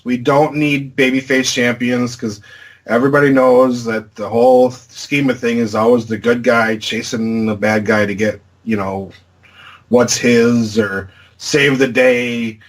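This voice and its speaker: Podcast guy giving his takes, measured and opinionated, average voice. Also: mid-range voice, rough recording. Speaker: podcast co-host. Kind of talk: casual chat.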